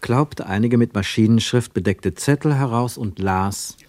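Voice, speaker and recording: A man narrating a literary radio play in a measured, precise, wry storytelling manner. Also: medium pitch, darkish tone, no echo, natural voice. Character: measured, wry male narrator of a travel tale.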